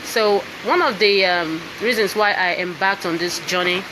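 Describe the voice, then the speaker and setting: A woman's voice: medium pitch, normal speed, background noise. Nigerian woman chatting on a live stream. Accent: Nigerian English. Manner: chatty, keeps checking in with "all right?" and "you understand?". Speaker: singer and voice coach presenting her book. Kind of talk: live-stream talk to viewers. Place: indoors with piano and laptop.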